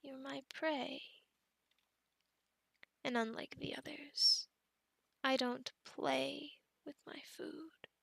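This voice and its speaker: A woman playing a possessive, obsessive female werewolf in an ASMR roleplay, often whispering, formally worded and softly menacing, close to the microphone. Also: high voice, fairly even intonation, dry recording, heavily acted, poor audio. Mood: possessive, soothing. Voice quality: breathy whispering. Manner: slow, formal wording, soft menacing coaxing, often whispering.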